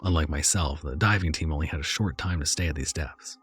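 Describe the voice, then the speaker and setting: A man narrating a first-person horror story in a measured, somber way. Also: extremely low pitch, a bit bright, breathy edge, faint music behind.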